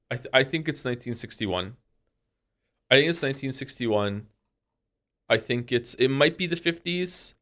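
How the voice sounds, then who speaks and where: Man livestreamer chatting casually, excitable. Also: medium pitch. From Wales. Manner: excitable, incredulous, rambling, thinking aloud. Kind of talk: casual chat with stream viewers while playing a guessing game.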